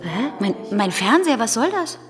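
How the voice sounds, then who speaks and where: A woman's voice: a little high, very animated, normal speed. An actress playing a hesitant, appeasing woman with an apologetic, colloquial manner in a radio drama. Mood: flustered. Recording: long hall reverb under music.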